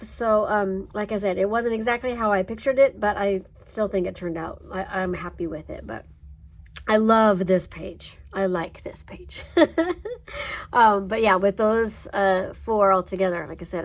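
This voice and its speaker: A woman host chats casually about her finished coloring pages, voice stuffy and congested from allergies. She rambles a little, with plenty of ums and self-corrections, at a middling pitch.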